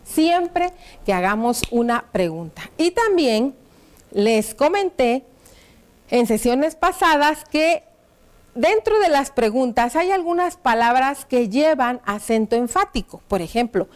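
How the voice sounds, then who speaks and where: Woman, schoolteacher, high voice, patient and explanatory classroom delivery. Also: lively intonation, takes it slowly. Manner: patient, explanatory, frequent check-in questions. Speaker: primary school teacher. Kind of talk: educational TV class, addressing pupils.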